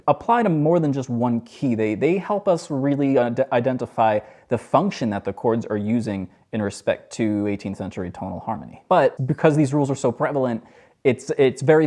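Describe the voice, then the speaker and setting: Laid-back joking man teaching music. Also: warm tone. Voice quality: low voice. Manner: loose, joking, self-deprecating. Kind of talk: casual music lesson.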